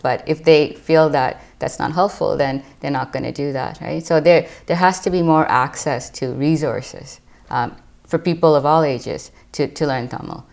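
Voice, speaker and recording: A young woman with a notably low, gravelly voice speaks as a panel guest. She makes her case earnestly and checks in with "right?" after nearly every point. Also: normal speed, darkish tone. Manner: earnest, keeps adding "right?". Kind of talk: panel discussion.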